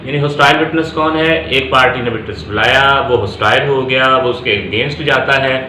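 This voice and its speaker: A man with a Pakistani accent giving an explanatory law lecture, deliberately repeating phrases for emphasis and switching into English terms. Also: mid-range voice, pushed and bright. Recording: echoey room with faint background noise.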